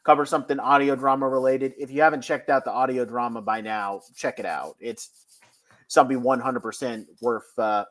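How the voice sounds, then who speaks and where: Medium-pitched man hosting a casual podcast. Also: steady tone, fairly quick, a bit bright. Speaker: podcast host.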